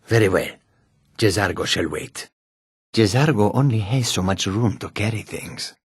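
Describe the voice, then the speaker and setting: An actor playing a sly, menacing, proud humanoid cat warrior in a fantasy video game, with a husky, raspy voice and deliberate delivery. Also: male voice, fairly low pitch, lively intonation, no room sound, studio quality. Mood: loyal, dutiful. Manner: slow, deliberate, often speaks of himself in third person.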